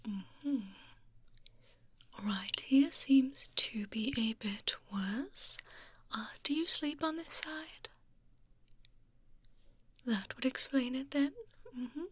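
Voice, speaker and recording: A courteous female doctor played by a woman in an ASMR roleplay speaks in a breathy, higher-pitched half-whisper. She takes her time, reassuring and professional, murmuring close to the microphone. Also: fairly bright tone, dry recording, exaggerated voice. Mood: calm, reassuring. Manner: unhurried, reassuring, murmuring little acknowledgements. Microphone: close binaural ear microphone.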